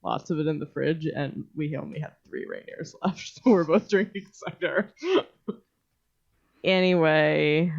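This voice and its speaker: A woman's voice: low voice, measured pace, gravelly, lo-fi sound. A woman co-hosting a podcast in casual banter with friends, with dry, sardonic quips. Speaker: podcast co-host.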